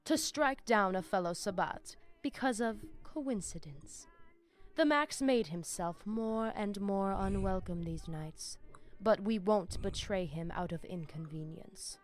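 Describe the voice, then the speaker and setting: An actress playing a formal, menacing vampire bishop in a video game, speaking deliberately. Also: female voice, medium pitch, soft background music, lightly acted. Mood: skeptical. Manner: theatrical, deliberate, ornate phrasing. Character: female vampire bishop, menacing, formal, zealous sect leader. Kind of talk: video game dialogue acting.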